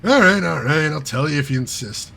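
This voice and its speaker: A man's voice: on the high side, lively intonation, fairly quick, vocal fry, sharp tone, heavily acted. A man reading aloud and voicing a wry male hacker character who tells a self-deprecating heist story in a chatty way. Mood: sheepish.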